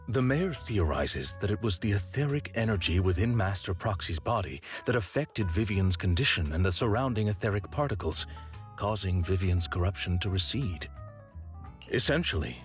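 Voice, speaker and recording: A man's voice: lowish voice, close to an everyday voice. A man voicing a formal, composed butler figure in a game cutscene, polite and precise.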